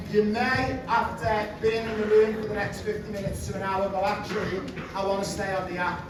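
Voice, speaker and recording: A man from England with a Liverpool accent, giving a chatty, direct live presentation to parents and children in a large room. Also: high voice. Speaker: presenter, program founder. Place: large indoor room with audience. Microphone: far room mic. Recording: echoey room, distant mic, background noise.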